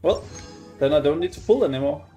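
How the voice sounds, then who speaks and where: A man giving casual gameplay commentary, halting and thinking aloud as he goes. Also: on the high side, expressive, mellow, soft background music. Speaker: game streamer.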